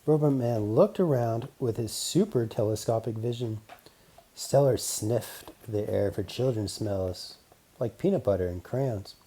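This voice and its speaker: A children's audiobook narrator reading a story with deliberate, storybook phrasing. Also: medium pitch, dark tone, breathy edge.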